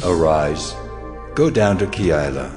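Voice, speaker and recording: A man's voice: very deep voice, animated, slow pace, exaggerated voice. A man voicing God in a dramatized scripture reading, solemn and commanding in his pronouncements. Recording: long reverberant hall, music underneath.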